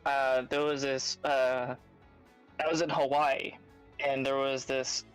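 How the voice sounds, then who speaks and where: A man chatting casually on a stream, quick and laughing at himself. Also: medium pitch, flat delivery, clear, resonant tone, faint music behind, rough recording. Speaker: co-host on a game prep stream.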